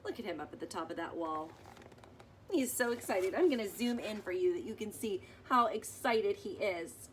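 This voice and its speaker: A woman reading a children's picture book aloud expressively, pausing to explain things as if to young children. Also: mid-range voice, lively intonation, a little hiss or hum. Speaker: storybook reader.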